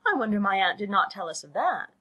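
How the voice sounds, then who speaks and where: A woman voicing a brash, excitable teenage girl in a storybook, eager and exclaiming. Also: mid-range voice, a little fast, breathy edge, muffled recording, pitched above the performer's own voice. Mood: excited.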